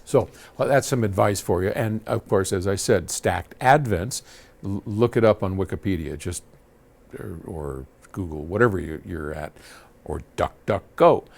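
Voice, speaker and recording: Casting: male host, lowish voice, informal and folksy, answers questions conversationally and drifts into asides. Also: a little slow, gravelly, a little mellow. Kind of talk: casual advice talk to camera.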